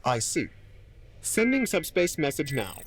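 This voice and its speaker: A man playing a dutiful alien second-in-command in a sci-fi audio drama, calm and formal as he reports. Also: a little high, measured pace, background noise.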